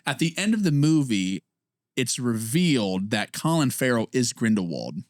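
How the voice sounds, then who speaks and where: A lively young man in his twenties chats on a podcast, his pitch swinging up and down as he talks in bursts. The mic is close and dry, with no room sound at all. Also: normal speed, no room sound. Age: 20s. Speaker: podcast co-host. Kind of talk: casual chat.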